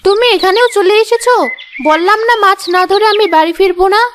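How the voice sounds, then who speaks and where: A woman voicing a feisty, sharp-tongued, proud village wife in a cartoon, with a scolding, emphatic manner. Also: very high-pitched, fairly quick, slight background noise. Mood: grumbling. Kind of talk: Bengali cartoon dialogue.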